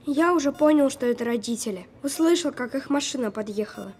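High-voiced sarcastic sick boy. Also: lively intonation, brisk, darkish tone, slightly rough. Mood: sarcastic, weary. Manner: dry, know-it-all. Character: sick twelve-year-old boy, sceptical, sarcastic, precocious. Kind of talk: audio drama acting.

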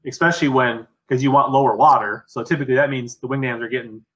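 A man's voice: medium pitch, fairly even intonation, fast, fairly bright tone, low-quality recording. A man hosting a fishing show, asking questions and thinking aloud in a casual conversational way. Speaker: podcast host. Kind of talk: casual interview talk. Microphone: webcam on a video call.

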